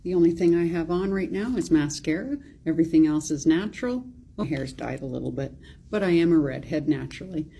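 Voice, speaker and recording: A woman in her fifties speaking gently and encouragingly in a casual selfie video. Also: sits low, fairly quick, vocal fry, slightly rough. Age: 50s.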